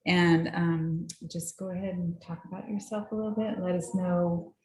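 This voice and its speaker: Mellow-voiced woman host, encouraging. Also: lowish voice, steady tone, moderate pace, echoey room. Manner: encouraging, with short affirmations. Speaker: host of the reception. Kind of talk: introducing a guest.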